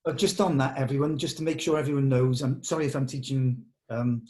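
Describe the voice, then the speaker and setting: A man's voice: mid-range voice, fairly even intonation, a little fast, mellow. A man talking casually as a director in a production meeting, explaining things in a considerate, hedging way. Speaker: film director leading a table read.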